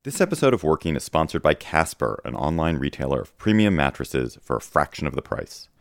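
Casting: male announcer, extremely deep with vocal fry, scripted sponsor read, very dry sound. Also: sing-song, brisk, dry recording.

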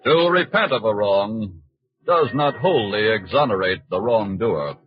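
A man giving solemn, formal, measured narration in the style of a 1940s radio drama. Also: on the low side, expressive, soft background music. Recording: muffled old radio broadcast recording.

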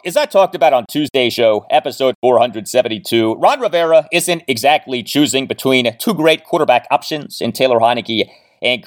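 Emphatic male sports podcast host. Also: medium pitch. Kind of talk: sports commentary, presenting and analysing interview clips.

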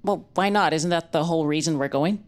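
A woman's voice: low-pitched, fairly quick, close to an everyday voice. An actress playing a skeptical, wry woman investigating the occult, talking casually and a little hesitantly in a naturalistic audio drama. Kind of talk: naturalistic audio fiction acting.

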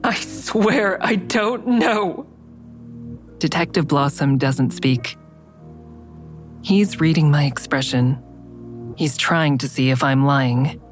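A woman's voice: low voice, takes it slowly, long pauses, soft background music. An actress playing an anxious, defensive woman under interrogation in an audio drama, guarded and earnest. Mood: frightened, pleading, then tense narration. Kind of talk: audio drama acting with first-person narration.

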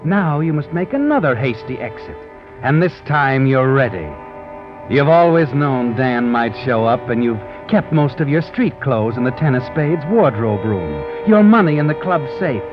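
An actor playing a needling, sardonic crime-tale narrator in dramatic 1940s radio suspense style. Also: male voice, medium pitch, animated, moderate pace, natural voice. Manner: dramatic second-person taunting. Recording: muffled old radio recording over music.

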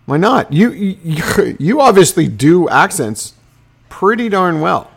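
A man co-hosting a casual sports podcast, chatting in an animated, bantering way. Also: high voice, takes it slowly, gravelly, slightly rough.

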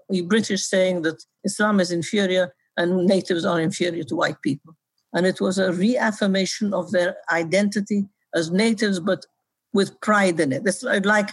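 Older woman from Egypt, husky, dry sound, brisk historian guest explaining her research. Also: mid-range voice, no echo. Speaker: historian and author. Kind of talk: interview answers.

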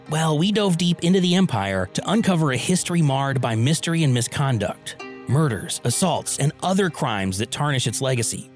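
Male promo voice, dramatic and animated, light music under. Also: a little high. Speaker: promo announcer. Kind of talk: dramatic podcast ad read.

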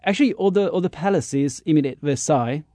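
A man's voice: on the high side, lively intonation, quick pace, warm tone, no echo, clean studio sound. A man from Hungary with a Hungarian accent, a guest on a radio interview who tells chatty stories with many hesitations. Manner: chatty storyteller with many uh fillers.

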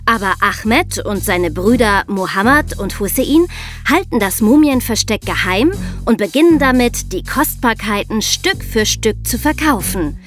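A woman's voice: on the high side, expressive, measured pace, a bit bright. A young woman co-hosting a podcast, presenting scripted narration and explanations for young listeners. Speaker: podcast co-host. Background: music.

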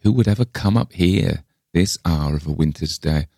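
A man voicing a brisk, practical woman visitor in a storybook reading, cheerful, insistent and coaxing in a matter-of-fact way. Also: sits low, vocal fry, mellow, breathy edge, no room sound, studio quality, full character voice. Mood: humouring.

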